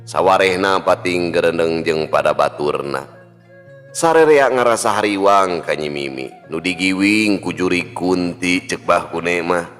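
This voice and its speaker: A man's voice: low-pitched, a bit bright. A man with a Sundanese accent narrating a folk tale in a storyteller's style. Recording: long hall reverb with music. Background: music.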